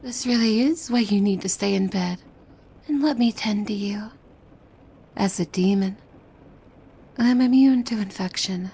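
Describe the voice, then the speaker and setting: Woman acting a breathy, slow-speaking possessive demon maid. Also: medium pitch. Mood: sweetly menacing. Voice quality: soft, breathy. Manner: slow, formal, coy, often dropping into breathy whispers. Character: possessive, devoted young female demon maid, sweetly menacing. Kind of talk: ASMR roleplay, scripted monologue to the listener.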